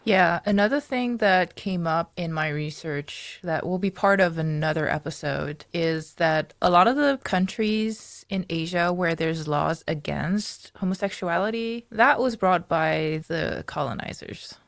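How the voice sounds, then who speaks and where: A woman with a slightly low voice talks through research as a podcast host. Her delivery is informal and conversational, like chatting with a colleague. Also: a little slow, creaky, few pauses, no echo, studio quality. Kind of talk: casual discussion of research.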